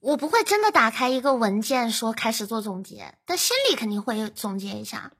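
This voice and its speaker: A woman's voice: on the high side, animated, a little fast, fairly bright tone, husky. A woman hosting a podcast in a casual, conversational style. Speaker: podcast host.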